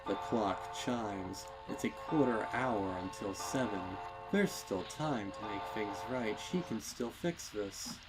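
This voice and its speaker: Guy reading a horror story, slow n deliberate, lowish voice, music playing behind him. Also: poor audio. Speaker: narrator of a horror fan fiction reading. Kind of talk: scripted dramatic story narration.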